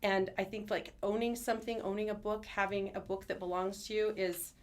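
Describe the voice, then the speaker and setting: Fast-talking, enthusiastic woman. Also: mid-range voice, level tone. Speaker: schoolteacher as podcast guest. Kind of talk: conversational interview answers. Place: studio.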